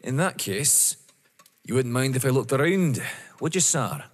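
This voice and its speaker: A stern, dogged police inspector, acted by a man in an audio drama. He is rough-voiced and dryly polite, with pointed, lively intonation and long reverb around him. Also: medium pitch. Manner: deliberate, pointedly courteous. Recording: long echoing hall reverb.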